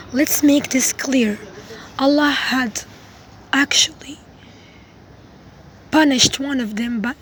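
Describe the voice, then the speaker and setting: A woman who is not a native English speaker, giving an informal explanatory talk to viewers in a halting, self-correcting way. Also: a little high, a little hiss or hum, poor audio. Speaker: video creator giving a religious talk.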